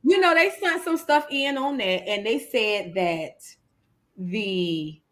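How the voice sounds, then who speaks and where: A woman's voice: mid-range voice, lively intonation, slow, fairly bright tone. A woman panelist talking casually and explaining things in street slang with some swearing. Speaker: talk show panelist.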